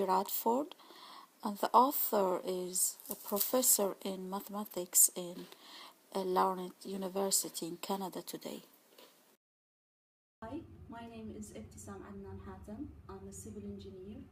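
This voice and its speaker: A woman from Iraq giving a prepared academic presentation, halting often with fillers as she reads. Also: mid-range voice, fairly even intonation. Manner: halting, many uh fillers, careful delivery. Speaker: student presenter. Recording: echoey room from a distance with noise.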